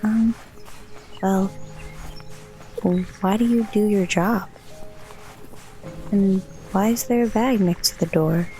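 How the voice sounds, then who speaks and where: A woman's voice: mid-range voice, slow, long pauses, dark tone, natural voice. A woman playing a curious, cheeky young daughter of a soldier in an audio drama, inquisitive and teasing. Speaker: voice actor. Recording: faint music behind the voice.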